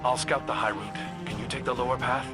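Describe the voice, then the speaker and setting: An actor playing a troubled, brooding scout haunted by dreams, speaking in a hushed, halting way in a video game. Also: male voice, medium pitch, fairly even intonation, over loud music, close to an everyday voice. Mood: hushed, intent. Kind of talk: dramatic video game dialogue.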